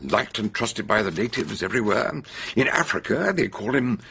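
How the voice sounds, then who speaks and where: A brisk colonel in a radio drama. His voice is gravelly and forceful with lively pitch, and he delivers facts in a clipped, authoritative report. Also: slight background noise, full character voice.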